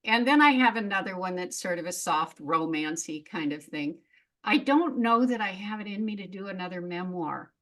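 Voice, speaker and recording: A woman's voice: fairly low pitch, expressive, moderate pace, fairly bright tone. A middle-aged woman speaking as a guest in a casual interview, frank and reflective, often laughing at herself. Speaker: memoirist guest.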